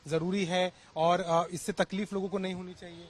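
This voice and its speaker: A man's voice: high voice, level tone, normal speed. A man reporting on location, doing street interviews and pieces to camera. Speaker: field reporter. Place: on location in a local neighbourhood.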